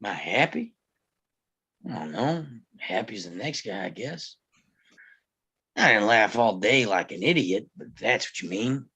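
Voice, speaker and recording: An actor playing a guarded, gruff Old West lawman in a table read, speaking tersely and grudgingly with some hesitation. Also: fairly low pitch, lively intonation, unhurried, long pauses, pushed and bright, exaggerated voice. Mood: defensive. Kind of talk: live table read acting of a western screenplay.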